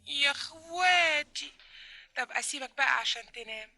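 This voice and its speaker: Coy, high-pitched Egyptian flirt. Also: female voice, moderate pace, sharp tone, full character voice. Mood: coy, apologetic. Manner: drawling, exaggerated coyness. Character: coy, teasing, flirtatious woman on a late-night phone call. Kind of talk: old Egyptian radio comedy acting.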